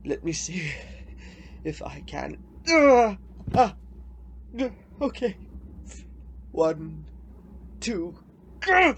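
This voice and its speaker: An actor playing a sad, repentant old grouch in a radio play, speaking haltingly and with open emotion. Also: male voice, very high-pitched, slightly rough, full character voice. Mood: pained, struggling.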